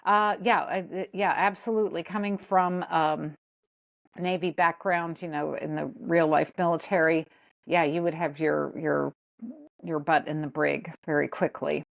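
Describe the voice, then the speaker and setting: Gravelly low-voiced woman, halting. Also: fairly even intonation, dull audio. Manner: hesitant, halting, with restarts. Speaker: podcast panelist. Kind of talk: casual chat.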